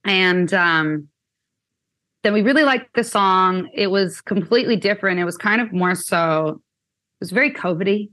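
Casting: young woman from Canada, musician guest, lower voice, rambling casual chat. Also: moderate pace, a bit bright. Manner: chatty, many fillers like "like" and "um".

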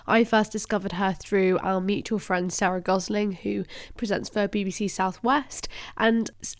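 A woman's voice: medium pitch, dry recording. Dry-sounding young woman podcast host. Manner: chatty, lots of fillers like "like". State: blocked nose, slightly nasal. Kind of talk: casual podcast chat. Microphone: video call.

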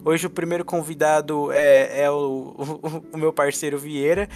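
A young man in his twenties hosts a loose, joking podcast chat and rambles on. His voice sits a little on the high side. Faint music plays underneath. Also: flat delivery, moderate pace. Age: 20s. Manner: rambling, joking, laughs easily. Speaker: podcast host. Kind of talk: casual chat.

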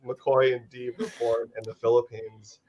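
A man's voice: mid-range voice, little pitch movement, moderate pace, a little mellow, low-quality recording. A man from the United States, a guest co-host of a podcast, talking casually and jokily with a lot of "like.". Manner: chatty, jokey, lots of "like". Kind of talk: casual chat.

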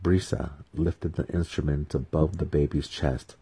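Man, very low pitch, husky, dramatic audiobook narration, scripted fantasy storytelling. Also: steady tone, a little slow, dark tone.

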